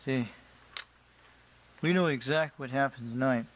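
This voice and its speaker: A man with a mid-range voice talks like a hobby CB radio operator. He is informal and friendly, dropping in radio slang. Clear background noise runs through the home recording. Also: medium pitch, moderate pace, low-quality recording. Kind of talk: casual hobbyist chat and radio talk.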